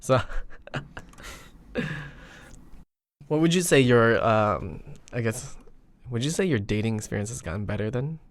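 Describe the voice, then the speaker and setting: A man's voice: medium pitch, expressive, measured pace, pauses often. A young man hosting a casual podcast, chatting in an informal, slangy, teasing way. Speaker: podcast host.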